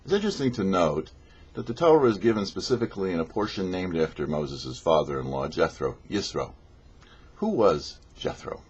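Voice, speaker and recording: A man, a rabbi, giving scripted religious teaching in a measured, explanatory way on his show. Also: low-pitched, lively intonation, a little mellow, husky, dull audio. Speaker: rabbi hosting a weekly Torah portion show.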